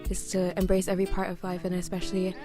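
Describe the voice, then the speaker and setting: Mentor figure, young woman, lowish flat voice, measured. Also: music in the background. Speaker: mentor and supervisor. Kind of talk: documentary interview.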